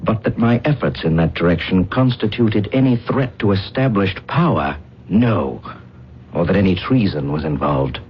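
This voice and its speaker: A man's voice: low-pitched, lively intonation, normal speed. A man playing a defiant, sardonic, world-weary condemned prisoner with formal, insolent speech in a radio drama. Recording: dull, noisy old radio broadcast.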